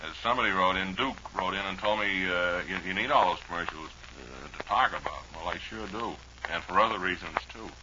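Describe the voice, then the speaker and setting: A man's voice: low voice, a little fast. A man with an American accent hosting an old-time radio show, rambling through ad-libbed chat and commercials in a folksy, wry way. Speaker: radio host. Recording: dull, noisy old radio broadcast recording.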